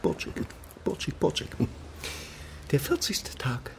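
A man playing a small, stubborn, childlike penguin gentleman in a children's radio play, insistent and pedantic, repeating himself. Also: a little high, huge pitch swings, slow pace, vocal fry, a little hiss or hum, full character voice. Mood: muttering. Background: music.

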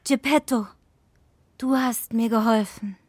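Slow-spoken, high-voiced good fairy. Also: female voice, steady tone, a little mellow, husky, no echo. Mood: earnest, insistent. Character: kindly, insistent good fairy disguised as poor old beggar woman. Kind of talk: children's radio play acting.

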